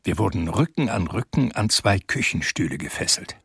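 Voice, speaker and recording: An actor playing a sensitive man recalling his life, narrating a radio drama in a reflective, measured way. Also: low-pitched, very animated, gravelly, fairly bright tone, husky, no echo, studio quality, full character voice.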